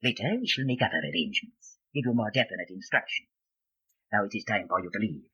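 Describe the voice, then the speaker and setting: Radio-drama resistance chief: commanding, solemn, forceful, husky, dull vintage sound. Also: male voice, lowish voice, very animated, heavily acted. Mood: grave, stern. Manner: solemn, measured, authoritative. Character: grave, commanding male underground conspirator recruiting rebels. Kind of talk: old-time radio drama acting. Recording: dull old radio recording.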